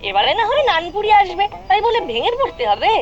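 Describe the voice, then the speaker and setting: A woman's voice: very high voice, very animated, fast, highs cut off. A woman voicing a cheeky young boy in an exaggerated cartoon style. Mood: teasing. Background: faint music.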